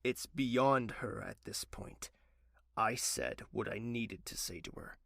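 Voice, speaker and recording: A man's voice: medium pitch, takes it slowly, vocal fry, fairly bright tone, no room sound, clean studio sound. An actor playing a weary, bitter young man who narrates his own story and snaps into anger. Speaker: narrator and lead character.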